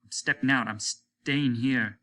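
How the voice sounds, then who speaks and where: A man reading a story, voicing an earnest, defiant crewman who speaks in an impassioned, halting, pleading way. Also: medium pitch, sharp tone. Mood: defiant, fervent. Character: earnest, defiant male crewman standing up to his captain. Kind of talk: dramatic audiobook reading.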